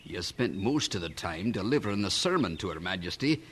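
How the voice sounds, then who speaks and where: An actor playing a mild, conciliatory Scottish lord in a radio drama. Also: male voice, deep voice, very animated, brisk, fairly bright tone, slight background noise. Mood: amiable.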